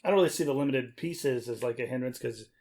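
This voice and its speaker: Man, quick pace, medium pitch, podcast co-host, casual unscripted explaining. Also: darkish tone.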